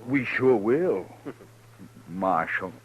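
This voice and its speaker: An actor playing a sneering drifter troublemaker in a 1950s radio drama, drawling with mock politeness. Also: male voice, on the low side, animated, very slow, over loud music. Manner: drawling, mocking, falsely polite. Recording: dull 1950s radio broadcast with faint hiss.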